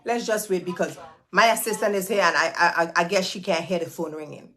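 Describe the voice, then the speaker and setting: A woman's voice: lowish voice, fairly even intonation, a little fast, background noise. A woman hosting a casual livestream, greeting viewers by name and repeating herself in a chatty, hyped-up way, talking into her phone. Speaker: host of a livestream. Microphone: phone camera.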